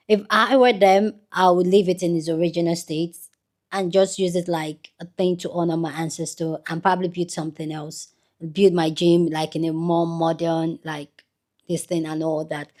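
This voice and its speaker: A woman from Nigeria talks straight to her viewers in a rambling, earnest way, restarting her sentences as she goes. Her voice sits a little low for a woman. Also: a little mellow. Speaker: YouTube commentator. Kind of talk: casual commentary to camera.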